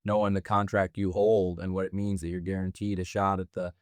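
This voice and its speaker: A man's voice: low-pitched, level tone, a little fast, dry recording. A man putting formal, congratulatory questions to a wrestler at a press conference. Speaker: company interviewer.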